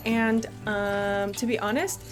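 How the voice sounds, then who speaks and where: Hesitant woman over clear background music. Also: mid-range voice, fairly even intonation, takes it slowly. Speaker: co-host. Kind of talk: acted comic sketch dialogue.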